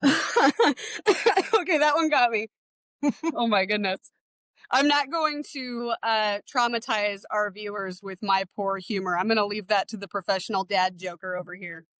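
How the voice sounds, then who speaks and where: A woman's voice: mid-range voice, measured pace, fairly bright tone, no echo. A woman co-hosting a podcast, introducing a guest in an upbeat way. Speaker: podcast co-host.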